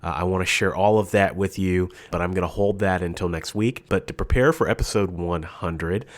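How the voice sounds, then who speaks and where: A man's voice: low voice, normal speed. A man hosting his podcast while sick with a cold, sounding froggy and nasal, chatting casually and self-deprecatingly. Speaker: podcast host. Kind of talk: casual solo podcast talk.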